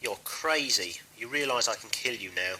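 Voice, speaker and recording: A man's voice: medium pitch, animated, normal speed, noisy background, lightly acted. A man playing a trigger-happy, aggressive junior guard in a science fiction audio drama, speaking in clipped phrases. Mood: hostile.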